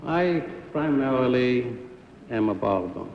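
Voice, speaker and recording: A man's voice: mid-range voice, animated, unhurried. A man giving terse answers as a game show contestant. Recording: dull echoey 1950s TV recording, faint noise.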